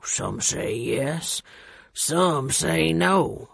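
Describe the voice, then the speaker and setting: A woman voicing a curt old mountain man who speaks slowly and tersely. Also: deep voice, gravelly, fairly bright tone, breathy edge, no echo, clean studio sound, full character voice. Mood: gruff. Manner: slow, terse.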